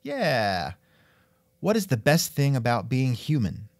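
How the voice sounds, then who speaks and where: A man with a lively, swooping delivery hosts a casual interview. He sounds wry and musing, and the recording is close and dry. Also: mid-range voice, takes it slowly, no room sound. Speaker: podcast host and interviewer. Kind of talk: casual scripted interview chat.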